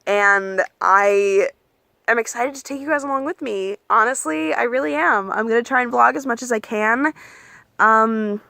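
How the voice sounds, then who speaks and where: A young adult woman chatting candidly in a casual advice vlog, with plenty of ums and likes, and she calls her own voice monotone. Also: a little high, moderate pace, vocal fry, no echo. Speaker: vlogger giving application advice.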